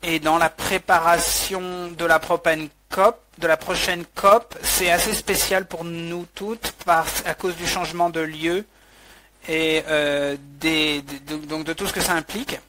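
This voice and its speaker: A man interpreting a webinar talk live, halting, with frequent restarts and fillers. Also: a little high, steady tone, sharp tone.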